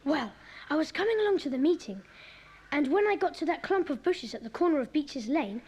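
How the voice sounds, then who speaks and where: Excitable, high-voiced schoolboy. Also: female voice, brisk. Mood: breathless, excited. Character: eager, adventurous schoolboy club member. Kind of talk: children's audio drama acting. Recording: noisy cassette transfer.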